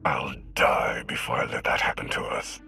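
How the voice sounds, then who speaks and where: An actor playing a brooding, menacing son of an emperor in a video game, with a deep, gravelly, breathy voice. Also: male voice, level tone, normal speed, bright, forceful tone, whispered, exaggerated voice. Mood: defiant. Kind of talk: dramatic video game acting.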